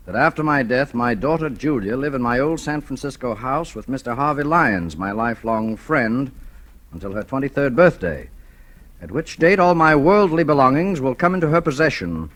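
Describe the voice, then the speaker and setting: A solemn older father speaks formally in a vintage radio drama. His medium-pitched voice rises and falls expressively over music, every phrase deliberate. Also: fairly bright tone. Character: solemn father reading out his last will. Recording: old radio recording with music underneath.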